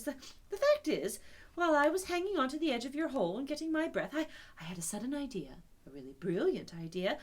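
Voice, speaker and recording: A woman voicing a boastful, excitable male toad who gushes and stumbles over his words. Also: high-pitched, voice pushed up. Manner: gushing, breathless.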